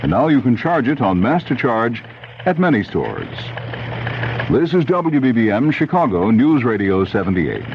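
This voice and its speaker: A man's voice: medium pitch, very animated, moderate pace. An American man reading scripted advertising and station announcements on 1970s radio. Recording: dull, noisy 1970s AM radio recording.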